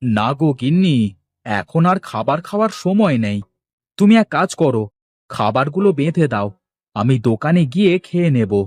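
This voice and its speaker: A man's voice: fairly high pitch, big pitch swings, moderate pace, darkish tone, no room sound. A man playing a busy, polite village fish seller in a cartoon, with a folksy way of talking. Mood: hurried, placating. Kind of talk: Bengali cartoon dialogue acting.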